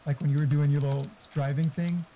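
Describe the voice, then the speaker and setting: Talkative man with a dull, mellow voice. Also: a little high, flat delivery, quick pace. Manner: profane, talkative, explaining tech. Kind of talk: casual chat over online game. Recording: faint background noise.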